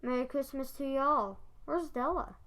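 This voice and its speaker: A man's voice: very high-pitched, a little slow, bright, forceful tone, exaggerated voice. A young boy playing a mild, loving husband in a school radio play. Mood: puzzled. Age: child, about 9 or 10.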